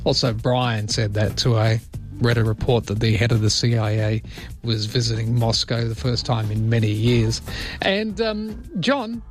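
A man's voice: mid-range voice, moderate pace, soft background music. A man hosting early-morning talkback radio, chatty and self-deprecating, with rambling asides. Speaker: radio host.

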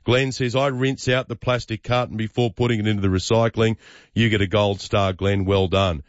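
An Australian man hosting radio, reading listener messages and bantering with callers in a brisk, joking way. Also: on the low side, fairly even intonation, no room sound, highs cut off. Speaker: radio host. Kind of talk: breakfast radio chat.

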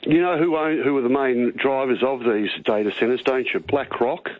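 Over a narrow, dry phone line comes a middle-aged Australian man calling talkback radio. He speaks at a medium pitch in an earnest, rambling, matey way, pressing his opinions. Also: normal speed, no room sound.

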